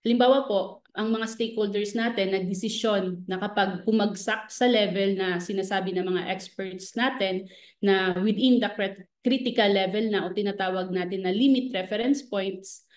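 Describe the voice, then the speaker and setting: A Filipino woman speaks as an expert guest in an interview, explaining with technical terms. Her pitch is average, and the room echoes noticeably around her voice. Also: moderate pace. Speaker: government fisheries professional being interviewed. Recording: roomy, echoing voice.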